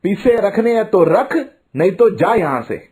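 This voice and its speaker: Man acting a gruff Haryanvi uncle. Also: high-pitched, lively intonation, moderate pace, music in the background. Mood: harsh, dismissive. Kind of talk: Hindi cartoon voice acting.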